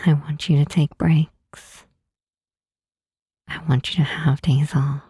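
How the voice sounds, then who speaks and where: A woman playing a gentle, reassuring demon queen in an intimate ASMR roleplay, speaking softly and tenderly with a breathy, husky voice and deliberate pauses. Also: deep voice, little pitch movement, no echo, studio quality, full character voice. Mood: tender reassurance. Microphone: close mic, dry.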